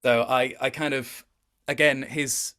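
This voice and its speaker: A man's voice: mid-range voice, fairly even intonation, a little slow, sharp tone. A man talking as a voice actor guest in a casual interview, telling anecdotes in a hesitant, rambling, appreciative way.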